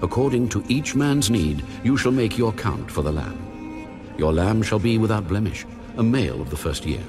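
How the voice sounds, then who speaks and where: Male actor as stern, majestic deity, deep and dynamic delivery, deliberate and authoritative, audio Bible drama. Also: animated, lightly acted. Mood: solemn, instructing. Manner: solemn, authoritative, deliberate pronouncements. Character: God, male, majestic, stern divine lawgiver. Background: music.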